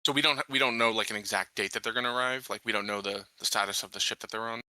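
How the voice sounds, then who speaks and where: A man's voice: fairly low pitch, steady tone, very fast, pushed and bright, dry recording. A man playing a tabletop roleplaying game, talking through plans and questions in casual chat. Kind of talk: casual in-game planning talk.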